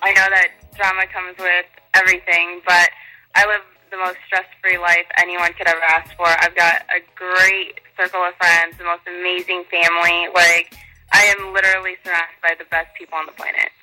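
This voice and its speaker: A woman's voice: lowish voice, completely flat delivery, moderate pace, pushed and bright, soft background music, low-quality recording. A young woman DJ answering interview questions in a chatty, upbeat way with lots of fillers. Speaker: guest DJ.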